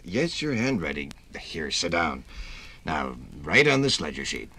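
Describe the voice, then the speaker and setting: Stern, deep-voiced head bookkeeper. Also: male voice, very animated, a little slow, bright, forceful tone, a little hiss or hum, heavily acted. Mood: stern, brusque. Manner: brusque, clipped. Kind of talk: 1950s radio drama acting.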